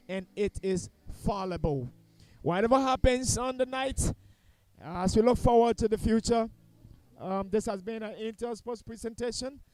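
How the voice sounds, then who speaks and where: A man's voice: sits high, mellow, clean tone, no echo. A man with a Liberian accent giving football commentary and post-match analysis as he signs off.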